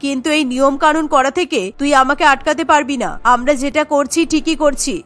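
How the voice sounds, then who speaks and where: A woman's voice: sits high, fairly even intonation, fairly quick, voice pushed down, close to an everyday voice. A woman voicing a stern, bossy middle-aged mother-in-law in a cartoon family drama, scolding and commanding. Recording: music bed under the voice.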